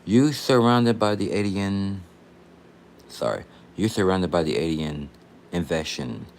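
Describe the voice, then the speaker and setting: A man's voice: low voice, a little slow, a little hiss or hum. A man in his late twenties from Texas, chatting casually on a livestream in a rambling, repetitive, slangy way. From Texas, United States.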